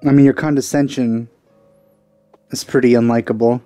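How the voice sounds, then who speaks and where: A man's voice: medium pitch, fairly even intonation, normal speed, mellow, soft background music. A man giving casual commentary as he plays through a video game. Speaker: let's play streamer.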